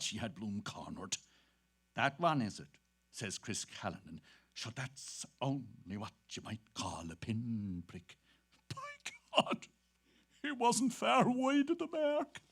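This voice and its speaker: A man's voice: high voice, creaky, fairly bright tone, exaggerated voice. An actor with an Irish accent playing a jovial, bawdy Dublin man-about-town, chuckling through a theatrical stage reading. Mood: excited mimicry. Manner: gleeful, chuckling, theatrical storytelling. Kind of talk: animated dramatic reading on stage.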